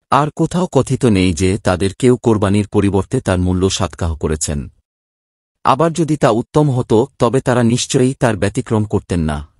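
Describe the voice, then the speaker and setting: A man reading a scripted religious narration in a formal, measured manner. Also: fairly low pitch, darkish tone, no room sound, clean studio sound.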